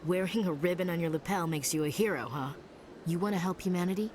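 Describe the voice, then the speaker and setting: An actress playing a tough, scornful soldier in a video game, curt and sardonic. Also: female voice, lowish voice, fast, a little mellow, natural voice. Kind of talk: video game dialogue acting. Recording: clear ambient noise behind.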